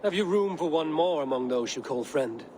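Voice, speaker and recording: An actor playing a bold young Viking warrior who speaks in a steady, plain, proud way. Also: male voice, fairly high pitch, moderate pace, slight background noise, natural voice. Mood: earnest.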